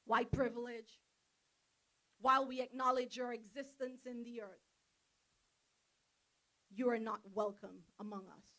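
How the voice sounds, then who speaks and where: A woman's voice: a little high, a bit bright, rough recording. A woman giving an impassioned, declamatory protest speech at an outdoor rally in a park. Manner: declamatory, building in force. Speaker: rally speaker.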